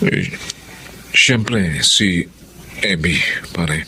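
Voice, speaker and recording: A man's voice: low voice, lively intonation, drawn-out words, sharp tone, a little hiss or hum, heavily acted. An actor playing a vain, glib young womanizer with a cocky, colloquial manner in a Filipino radio drama. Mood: smug, casual.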